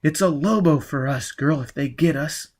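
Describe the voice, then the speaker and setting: A man voicing a rough, hard-bitten male crook in an adventure novel, blunt, urgent and quick to anger. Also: a little high, a little mellow, pitched above the performer's own voice.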